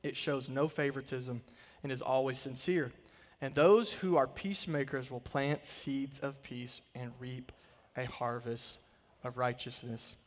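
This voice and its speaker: A man's voice: mid-range voice, steady tone, measured pace. Man preaching in a reverberant hall. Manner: emphatic preaching, voice swelling and dropping to quiet line endings. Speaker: pastor. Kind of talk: sermon with scripture reading. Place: large church stage, indoors.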